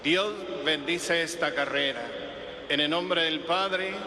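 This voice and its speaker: Slow priest praying, echoing PA. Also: male voice, on the high side, a crowd in the background. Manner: measured, pausing between phrases. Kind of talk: public invocation, solemn prayer. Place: large racetrack over loudspeakers. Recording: echoing stadium PA with background noise.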